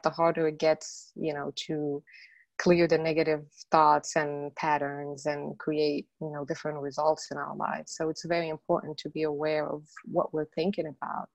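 Casting: earnest middle-aged woman from Morocco, low voice, dry close sound, talks through her story with lots of fillers. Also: little pitch movement, moderate pace, vocal fry, dry recording, low-quality recording. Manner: earnest, frequent "you know" fillers. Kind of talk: interview guest telling her story.

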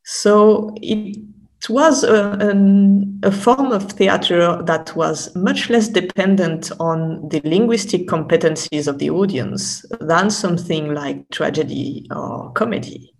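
A woman's voice: on the low side, takes it slowly, vocal fry, darkish tone. A woman speaking as an academic guest in an interview, explaining thoughtfully and often stopping to rephrase. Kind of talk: unscripted explanatory interview talk.

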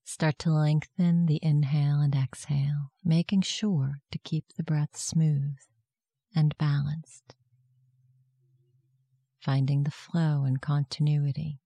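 A meditation guide giving gentle, soft-spoken, measured instructions in a scripted guided meditation. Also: mid-range voice, flat delivery, creaky, husky, dry recording.

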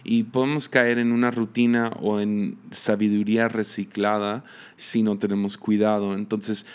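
Mexican-accented male missionary in his 30s, slightly low voice, relaxed hesitant teaching monologue. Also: moderate pace, smooth. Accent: Mexican Spanish. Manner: hesitant, many fillers and restarts. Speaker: missionary podcast host.

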